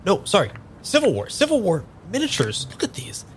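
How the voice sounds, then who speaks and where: An actor playing an eager, pushy man without his memory in a naturalistic improvised audio drama, halting and repeating himself. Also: sits high, huge pitch swings, a little hiss or hum. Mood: excited wonder. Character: eager amnesiac man, pushy, boyishly enthusiastic tarot querent.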